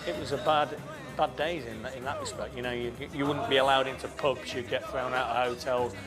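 A man's voice: mid-range voice, fairly even intonation, brisk, crowd noise. A middle-aged man and motorcycle club member, telling his memories in an interview, chatty and laughing easily, with frequent restarts. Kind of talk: interview recollection. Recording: music playing underneath.

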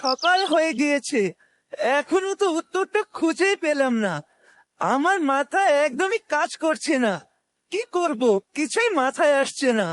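An earnest young cartoon hero, with an unusually high voice. He speaks with determination in a close, dry fairytale recording. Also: expressive, a little slow, fairly bright tone, dry recording, exaggerated voice. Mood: worried.

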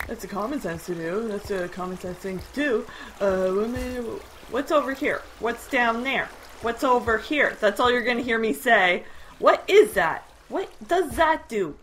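A woman's voice: fairly high pitch, expressive, moderate pace, a bit bright, a little hiss or hum, low-quality recording. A young woman with a Canadian accent giving casual gameplay commentary, given to sudden startled squeals and chatty asides to her viewers. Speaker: gaming video creator.

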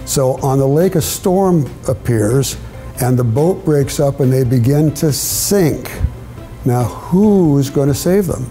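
A man's voice: mid-range voice, lively intonation, takes it slowly, mellow, soft background music. An older man, a children's author, telling stories as an interview guest. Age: older, with grandchildren. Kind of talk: interview storytelling. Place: indoor studio set with brick walls, seated at a table. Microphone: lapel mic.

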